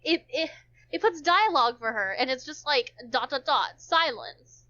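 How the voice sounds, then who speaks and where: A woman's voice: sits high, expressive, moderate pace, pushed and bright. A woman streamer reading a visual novel aloud, narrating dramatically and chatting casually. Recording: dull, muffled lo-fi audio.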